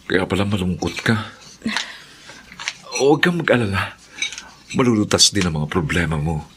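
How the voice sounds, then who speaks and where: An actor playing a gentle, consoling male friend in a radio drama, soothing and reassuring. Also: deep voice, sing-song, normal speed. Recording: clearly noisy radio drama recording.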